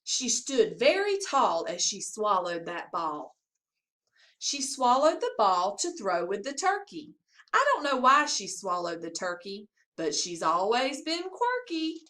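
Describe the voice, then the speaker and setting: A woman reading a picture book aloud to young children in an expressive, sing-song storytelling manner. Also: mid-range voice, unhurried. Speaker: assistant principal reading to pupils.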